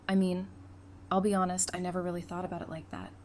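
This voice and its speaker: A woman's voice: lowish voice, little pitch movement, quick pace, darkish tone, natural voice. A woman playing a feisty, affectionate, independent bride in an audio drama, speaking in a casual, frank, teasing way. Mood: warm, candid.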